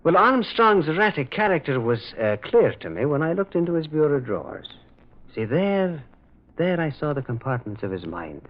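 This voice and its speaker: An actor playing a gentle, earnest priest detective in an old radio mystery, kindly and moralizing, with a hesitant way of speaking. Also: male voice, fairly high pitch, very animated, moderate pace. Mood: mild, reflective. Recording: thin, phone-like old radio recording.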